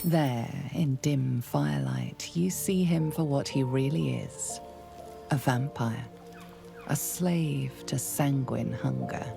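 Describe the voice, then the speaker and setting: An actress playing a grave, ominous storyteller who narrates a dark fantasy game in a solemn, deliberate, dramatic way. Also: female voice, a bass voice, vocal fry, music in the background, heavily acted.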